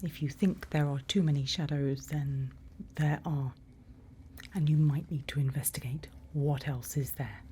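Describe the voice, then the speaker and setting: A woman speaks in a soft, breathy ASMR whisper with a dark, mellow tone. She guides the listener slowly and reassuringly as a calm forest night-watch leader. A faint ambience sits behind her close-miked voice. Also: sits low. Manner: unhurried, instructive, reassuring. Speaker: ASMR roleplay performer. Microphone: close microphone. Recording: faint background ambient noise.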